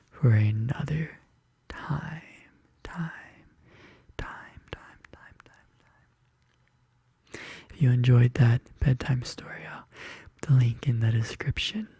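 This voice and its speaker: A soft-spoken narrator telling an ASMR bedtime story in a gentle, breathy whisper, slowly and deliberately, close to the microphone. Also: mid-range voice, no room sound. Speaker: ASMR video host. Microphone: close studio condenser microphone.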